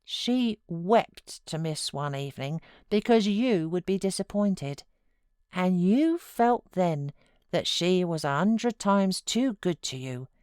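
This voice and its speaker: A woman's voice: fairly low pitch, darkish tone, clean tone, no room sound, studio quality. A woman voicing a stern, loyal housekeeper in a novel, forthright and reproachful.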